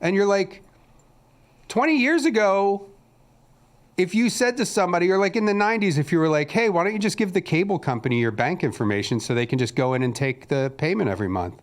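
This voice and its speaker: A man's voice: high voice, lively intonation, brisk. A man co-hosting a casual podcast, ranting in a crude, sarcastic way. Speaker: podcast co-host.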